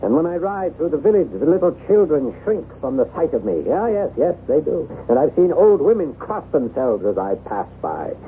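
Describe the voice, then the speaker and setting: An actor playing a lonely, self-loathing aristocratic former soldier in theatrical, formal, halting radio drama style. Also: male voice, fairly high pitch, sing-song. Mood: brooding, bitter. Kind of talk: old-time radio drama acting. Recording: tinny band-limited vintage radio, hissy.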